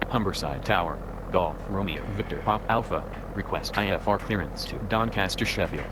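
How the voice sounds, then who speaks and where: Guy playing a deep voiced pilot in a flight sim, clipped formal radio calls, engine noise behind. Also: moderate pace, close to an everyday voice. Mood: businesslike. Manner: clipped, procedural. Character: male light aircraft pilot, businesslike on the radio. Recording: clear aircraft engine noise.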